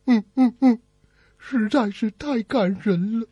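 A man's voice: very high-pitched, slow, mellow, husky, no echo, dull audio, pitched above the performer's own voice, full character voice. A man voicing an innocent, whiny little boy in radio comedy jokes. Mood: sobbing.

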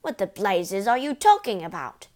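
A woman voicing a blustering, indignant middle-aged father in a storybook, shouting in outrage and panic. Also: fairly low pitch, big pitch swings, unhurried. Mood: furious.

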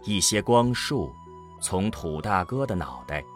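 Guy narrating audio drama, low n slow, lively intonation. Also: soft background music.

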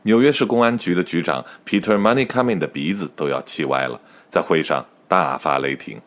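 A man's voice: deep voice, expressive, fairly quick. A man narrating a comic radio drama as a wry, mock-serious storyteller, with noise behind him. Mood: mock-grave.

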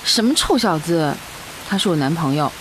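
An actress in a radio drama playing a lovestruck young student with a coy, pleading manner. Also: female voice, low-pitched, animated, normal speed, a bit bright, poor audio. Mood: defiant. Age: young adult. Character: lovestruck, coaxing young student, devoted daughter and girlfriend. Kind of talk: Chinese radio drama acting. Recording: faint hiss, rain-like noise.

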